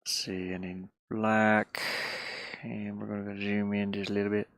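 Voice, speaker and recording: A man's voice: on the low side, level tone, moderate pace, fairly bright tone, no echo. A man chatting casually and informally while he draws on a tablet, rambling and often muttering half to himself. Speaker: livestreamer drawing on an art tablet. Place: inside a metal van lined with insulation.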